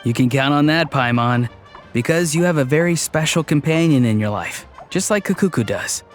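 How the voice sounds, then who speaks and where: A man's voice: on the high side, animated, moderate pace, faint music behind, lightly acted. An actor playing a playful, probing young man in a video game, wry and teasing with a friendly touch.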